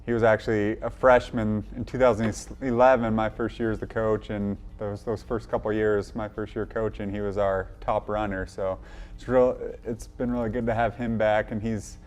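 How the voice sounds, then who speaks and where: A man's voice: lowish voice, little pitch movement, moderate pace, darkish tone. A man who coaches college runners, talking casually in an interview. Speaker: college cross country coach.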